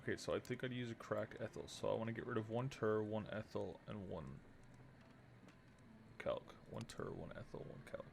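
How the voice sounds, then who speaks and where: A man's voice: lowish voice, normal speed, creaky, background noise. A man streaming a video game, giving casual live commentary as he thinks aloud and mutters to himself.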